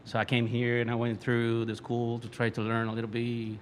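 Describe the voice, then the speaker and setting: A man from Venezuela with a Venezuelan Spanish accent, a native Spanish speaker and metal musician guest, speaking haltingly as he searches for words. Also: medium pitch, monotone, a little hiss or hum.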